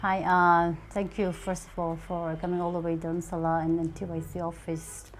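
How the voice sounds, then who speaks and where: Soft-spoken Nepal-raised woman, hesitant. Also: on the low side, little pitch movement, moderate pace, a little hiss or hum. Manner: hesitant, many fillers and restarts. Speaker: youth organization official. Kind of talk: interview answers.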